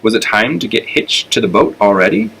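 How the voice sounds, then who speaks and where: Lowish-voiced man reading a story. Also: very animated, a little fast, fairly bright tone, husky, rough recording. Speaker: elementary school storyteller. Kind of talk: expressive children's audiobook-style narration.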